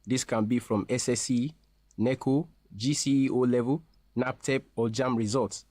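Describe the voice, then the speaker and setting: A man speaking as a representative in a scripted promotional interview, explaining things in a formal, measured way. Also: medium pitch, steady tone, darkish tone, no room sound. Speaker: university representative being interviewed.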